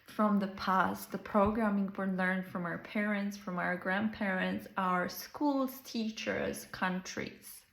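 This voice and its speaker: Woman, Serbian accent, Serbian native speaker, life coach, slow earnest monologue, echoey room. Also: medium pitch, fairly even intonation, vocal fry. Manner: slow, earnest, drawn-out phrasing with simple non-native grammar. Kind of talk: reflective monologue to camera.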